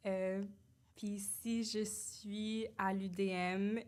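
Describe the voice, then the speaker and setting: A woman's voice: mid-range voice, little pitch movement, slow pace, darkish tone. A young woman, an English speaker, co-hosting a casual chat podcast in French, hesitant with many fillers. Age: young adult. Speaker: podcast co-host.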